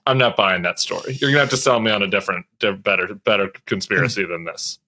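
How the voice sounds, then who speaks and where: A man's voice: fairly low pitch, fast, bright, forceful tone, rough recording. A man co-hosting a casual panel chat, giving his opinions in an emphatic, argumentative way. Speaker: podcast co-host.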